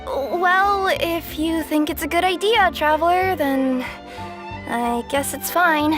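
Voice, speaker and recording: A woman's voice: high-pitched, takes it slowly. A woman voicing a cheerful, easygoing young cat-spirit courier girl, chirpy, friendly and a bit sheepish. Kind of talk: game cutscene dialogue. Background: game soundtrack music.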